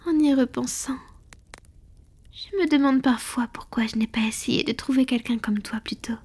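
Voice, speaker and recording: Woman doing a centuries-old lady vampire in love, soft breathy whispering, kinda high voice, asmr roleplay. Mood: wistful, confiding. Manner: slow, intimate, affectionate murmuring. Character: centuries-old female vampire, tender lover, proud but vulnerable. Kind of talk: French-language ASMR romantic roleplay.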